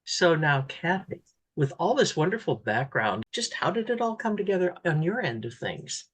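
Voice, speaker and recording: Husky woman radio interviewer. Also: lowish voice, sing-song, normal speed. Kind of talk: conversational classical radio interview.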